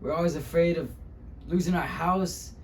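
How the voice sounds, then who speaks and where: A man playing a wounded young Mexican-American man in a dramatic monologue about a hard childhood, speaking haltingly with long pauses. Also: on the high side, steady tone, slight background noise, pitched above the performer's own voice, close to an everyday voice. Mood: pained, bitter. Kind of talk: dramatic interpretation monologue, speech-competition acting.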